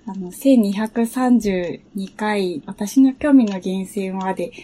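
A woman chatting reflectively as a guest on a relaxed talk show, choosing her words tentatively. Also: mid-range voice, slow pace. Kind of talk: relaxed reflective casual chat.

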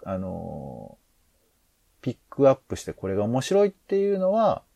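Male co-host, wide-ranging pitch with heavy vocal fry, tentative and informal. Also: huge pitch swings, moderate pace, warm tone, no room sound, muffled recording. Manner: hesitant, tentative, circling around points. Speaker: podcast co-host. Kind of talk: casual discussion.

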